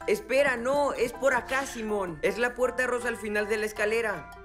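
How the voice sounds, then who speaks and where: A woman's voice: fairly high pitch, normal speed, lightly acted. A woman voicing a cheerful, eager young boy rabbit in a children's cartoon, with a Latin American Spanish accent and a playful manner. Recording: music behind the voice.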